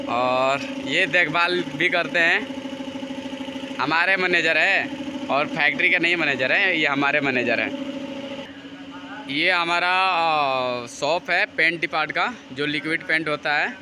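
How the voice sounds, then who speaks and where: An Indian man with a fairly high voice talks informally as he shows a workshop around for a vlog. He tends to repeat himself in simple phrases. The handheld phone recording has clear background noise. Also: slow pace. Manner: informal, repetitive narration. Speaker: vlogger showing around a factory. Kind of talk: casual walk-through vlog commentary. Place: large workshop and its yard.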